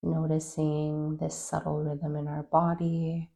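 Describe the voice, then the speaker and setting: A woman leading a guided meditation, giving calm, gentle, unhurried instructions with long pauses. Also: low-pitched, creaky. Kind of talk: guided meditation, soft scripted-sounding instruction.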